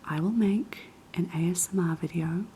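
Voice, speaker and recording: A young woman with a New Zealand accent whispering unhurried ASMR chat in a kitchen, her voice raspy and hoarse after being sick. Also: lowish voice, a little hiss or hum. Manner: soft, unhurried whispering with many ums. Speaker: ASMR creator. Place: kitchen of a quiet flat.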